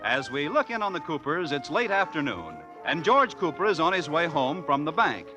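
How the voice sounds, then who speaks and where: A man's voice: fairly high pitch, sing-song, normal speed. A man announcing and narrating a 1940s radio show with a polished, emphatic showman delivery. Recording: vintage 1940s radio recording, music behind. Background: music.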